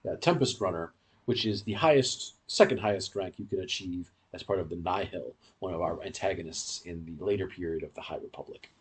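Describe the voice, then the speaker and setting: A man's voice: low-pitched, fairly quick, slightly rough. A man co-hosting a podcast, giving casual, in-depth fan commentary in a rambling, explanatory way. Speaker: podcast co-host.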